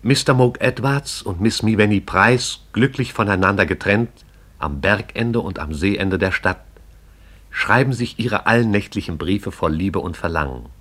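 A man narrating a radio play in a measured, poetic manner. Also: on the low side, fairly bright tone.

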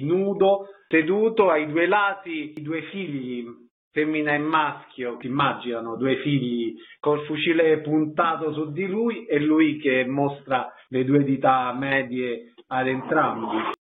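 A man hosting a radio interview, asking questions and reading out announcements. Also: on the high side. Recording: phone-like narrow band radio sound.

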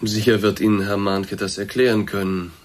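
An actor playing a courteous, awkward middle-aged boss in a radio play, speaking in a formal, hesitant, roundabout way with a slightly husky voice. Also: male voice, fairly low pitch, flat delivery, moderate pace, close to an everyday voice. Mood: musing, formal. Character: courteous, awkward male boss, discreetly breaking bad news. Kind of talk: German radio drama acting, naturalistic dialogue.

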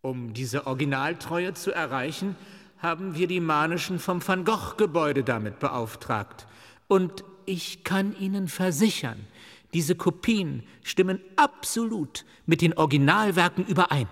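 In a long, echoing space, a clinic doctor in a radio play. His male voice sits a little high. He explains formally and proudly, like a guide. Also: animated, measured pace, a bit bright. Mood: proud, instructive. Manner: formal, lecturing. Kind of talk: radio drama dialogue. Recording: long reverberant hall echo.

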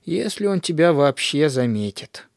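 An actor voicing a soft-hearted, grumbling little dream spirit in a children's fantasy audio play, with a sighing, expressive manner. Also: mid-range voice, a little slow, creaky, dry recording, clean studio sound. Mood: reassuring, wry.

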